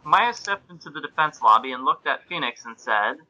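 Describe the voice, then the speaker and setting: A man's voice: on the high side, animated, brisk, sharp tone, highs cut off. A man hosting and narrating a comic amateur reading of fan fiction, in an amused, mock-serious way. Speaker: podcast host and narrator.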